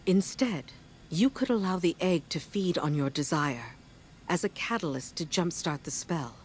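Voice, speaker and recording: A woman's voice: fairly low pitch, animated, normal speed, a little hiss or hum, close to an everyday voice. An actress playing a dry, wary, pragmatic female commander, giving businesslike video game mission briefings with a wry edge.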